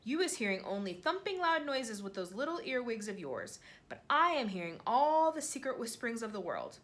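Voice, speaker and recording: A woman doing the BFG, a gentle earnest giant. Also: on the high side, big pitch swings, moderate pace. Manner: jumbled grammar, made-up words. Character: huge gentle male giant, earnest and wondering.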